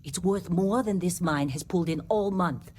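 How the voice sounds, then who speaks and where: A woman's voice: fairly low pitch, normal speed, darkish tone, soft background music, natural voice. A woman playing a blunt, dry, commanding female mining supervisor in a video game, weary and no-nonsense. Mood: dry, matter-of-fact.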